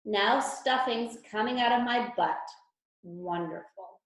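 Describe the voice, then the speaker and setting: A woman's voice: a little high, slow. Woman playing a grumpy stuffed toy, echoey room. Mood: miserable, sarcastic. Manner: sardonic, exasperated. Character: Huggie, a grumpy, long-suffering, sarcastic stuffed toy bear. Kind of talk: children's storybook read-aloud.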